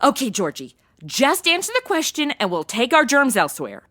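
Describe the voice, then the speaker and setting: Breezy modern young woman sleuth, slangy and sarcastic, highish animated delivery for comedy audio drama. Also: normal speed, heavily acted. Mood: exasperated, protective. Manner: slangy, casual, deadpan asides.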